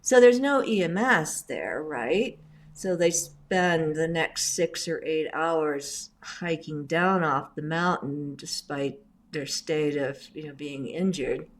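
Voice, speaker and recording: A middle-aged woman, raised in Indonesia, speaks in a low voice. She tells her stories in a chatty, animated way and fills them with asides as an interview guest. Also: takes it slowly. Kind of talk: casual storytelling interview.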